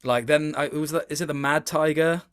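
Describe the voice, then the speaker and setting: A man's voice: a little high, steady tone, fairly quick, a bit bright, smooth. A man talking casually as an interview guest, thoughtful, hesitant and a little self-deprecating. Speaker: interview guest, video game voice actor. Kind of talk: casual chat.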